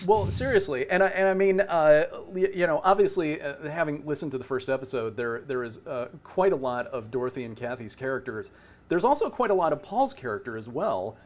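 A middle-aged man hosting a casual interview over a video call, feeling his way through wordy, halting questions. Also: on the high side, animated, a little hiss or hum. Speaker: host interviewing a radio play's cast. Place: indoor room on a video call.

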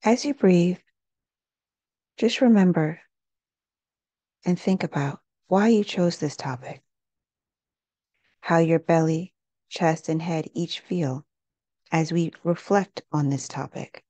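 A woman leading a guided meditation in a gentle, unhurried, measured way, leaving pauses between phrases. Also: on the low side, no room sound. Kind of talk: guided meditation, scripted narration.

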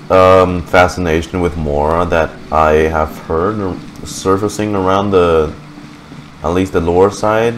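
A man's voice: low-pitched, a little hiss or hum. A young man giving casual gameplay commentary as a streamer, close to a desk microphone. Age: young adult. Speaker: streamer reacting to a game. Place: small indoor room. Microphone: desk microphone on boom arm, close.

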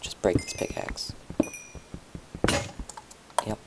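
A young man with a hoarse voice, chatting and rambling to viewers as he comments on his own gameplay. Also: extremely slow, loud music behind the voice, low-quality recording. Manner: chatty, rambling, talks to viewers while playing.

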